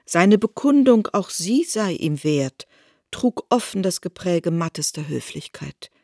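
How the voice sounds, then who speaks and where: A woman's voice: fairly low pitch, lively intonation, measured pace, darkish tone, no room sound, clean studio sound. A woman narrating a literary radio reading in a precise, formal way.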